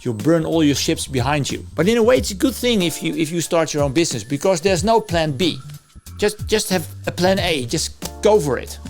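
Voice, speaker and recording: A man's voice: a little high, animated, normal speed, soft background music. A man, hosting a reaction video and giving earnest advice. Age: 50s. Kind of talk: reflective commentary.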